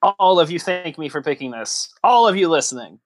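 A man's voice: fairly high pitch, animated, fairly quick, no room sound, clean studio sound. A man co-hosting a casual podcast, joking in a sarcastic, teasing and mock-grandiose way. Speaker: podcast co-host. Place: recorded remotely over a voice chat app.

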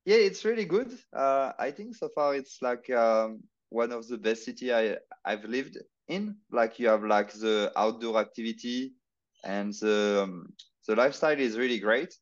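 Male guest, hesitant, mid-pitched, muffled lo-fi remote audio. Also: expressive, normal speed. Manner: hesitant, searching for words. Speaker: podcast guest, marketing consultant. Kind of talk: casual interview chat.

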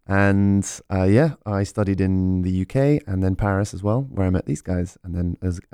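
Brief: male actor from Switzerland, low-ish smooth voice, relaxed. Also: normal speed, no echo, clean studio sound. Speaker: actor guest. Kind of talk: interview answers.

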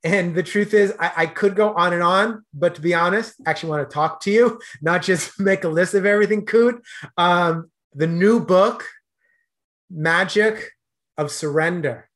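Man, podcast host, slightly high pitch, earnest, hesitant, lots of fillers. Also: moderate pace, fairly bright tone. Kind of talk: casual interview questions.

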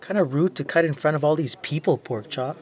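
A woman's voice: deep voice, brisk, darkish tone, close to an everyday voice. An actress playing a blunt, sarcastic young woman who holds grudges, in an audio drama. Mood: resentful, needling.

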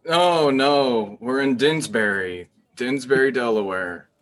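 A man's voice: mid-range voice, slow pace, fairly bright tone. A man playing a meek, eager new wizard employee in an improvised comedy sketch, stammering earnestly and hesitantly. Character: meek, eager male wizard newcomer starting a job.

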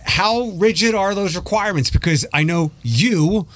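A man's voice: high voice, normal speed, a bit bright, no room sound. A man hosting a casual interview, chatty and teasing his guests. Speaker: radio show host.

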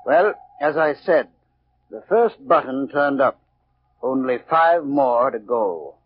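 An actor playing a brooding sea captain telling a dark tale in 1940s radio drama, deliberate and ominous, on a dull old recording. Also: male voice, medium pitch, lively intonation, husky. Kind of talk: 1940s radio acting, storytelling.

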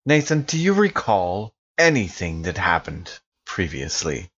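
Low, animated man in muffled lo-fi audio. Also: measured pace, slightly rough. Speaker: player in a tabletop role-playing game. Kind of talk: in-character game dialogue.

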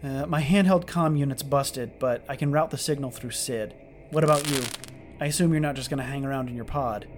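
A man's voice: mid-range voice, fairly quick, close to an everyday voice. A man playing a sardonic, irritable starship lieutenant stranded after a disaster, muttering in exasperation in a science fiction audio drama. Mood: tense, cautious. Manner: exasperated, muttering, hesitant asides. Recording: clearly noisy track with clicks.

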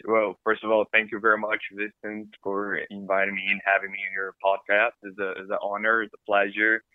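A man's voice: on the low side, no room sound. Brazilian-accented man on a tinny line. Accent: Brazilian Portuguese. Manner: animated, playful, halting with restarts. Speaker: film director, guest. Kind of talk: casual interview chat. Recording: dry tinny phone-like line.

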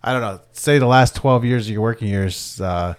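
A man's voice: on the low side, moderate pace, smooth. A man co-hosting a comedy podcast, laid-back, musing on his theories and swearing freely. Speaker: podcast co-host. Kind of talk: casual comedy banter.

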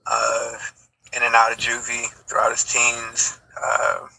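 A man's voice: medium pitch, monotone, takes it slowly, vocal fry, sharp tone, husky, poor audio. A nineteen-year-old man talking casually and a little hesitantly. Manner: hesitant, lots of fillers. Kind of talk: casual chat.

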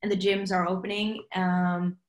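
A woman's voice: medium pitch, level tone, moderate pace, echoey room. A young woman from Norway with a Norwegian accent, a singer chatting casually in an interview and saying "like" all the time. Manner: chatty, says "like" constantly.

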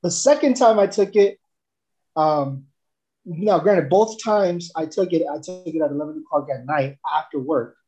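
A man's voice: fairly high pitch, moderate pace, darkish tone, muffled recording. A young man from El Salvador giving a casual, rambling testimonial, full of fillers and restarts.

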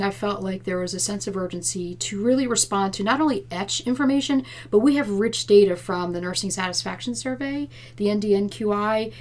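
A woman giving earnest, explanatory, conversational answers as an interview guest in a senior nursing leadership role. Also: medium pitch. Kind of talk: conversational interview answers about workplace plans.

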